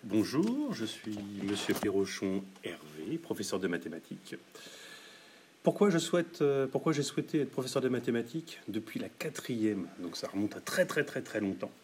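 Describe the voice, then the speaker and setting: A man's voice: lowish voice, big pitch swings, moderate pace, darkish tone. A male maths teacher answering a question plainly, with slight hesitation. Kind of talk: casual spoken interview answer. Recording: noisy crowd in the background.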